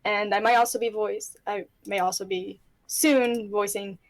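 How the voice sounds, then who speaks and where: A woman's voice: medium pitch, fairly even intonation, moderate pace, dry recording. A woman who is a voice actor on a panel, introducing herself casually and a little hesitantly.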